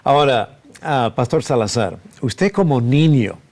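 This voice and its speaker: A man's voice: mid-range voice, animated, a little slow, a little mellow. A man, a pastor hosting a TV interview, who keeps his guest going with short affirmations. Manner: encouraging, frequent short affirmations. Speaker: pastor and TV host.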